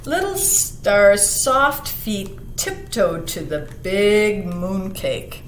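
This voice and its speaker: A woman reading a picture book aloud to children, expressive, putting questions to her young listeners and dropping into hushed asides. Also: mid-range voice, drawn-out words. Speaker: children's library storyteller. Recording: roomy echo, some background noise.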